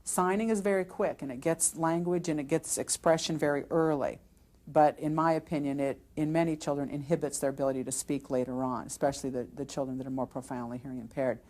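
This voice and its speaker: A woman speaking in a documentary interview, explaining her professional opinion in a measured way. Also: sits low, fairly even intonation, darkish tone. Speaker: oral deaf-education professional.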